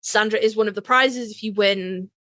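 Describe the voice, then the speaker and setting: A woman's voice: medium pitch, fairly even intonation, moderate pace, pushed and bright, no room sound, studio quality. A woman co-hosting a podcast in casual, slangy chat, swearing freely and telling stories in quoted exchanges. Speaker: podcast co-host.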